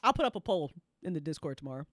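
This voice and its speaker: A woman's voice: sits low, big pitch swings, very fast, no echo, studio quality. A woman hosting a casual group chat in an informal, sweary way, often repeating phrases for emphasis. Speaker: after-show host and fiction podcast writer.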